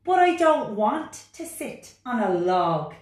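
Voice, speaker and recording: A woman's voice: fairly high pitch, very animated, slow pace, pitched above the performer's own voice. A woman voicing a reluctant, curious little picture-book frog with a pleading, questioning manner. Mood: complaining. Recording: roomy, distant microphone.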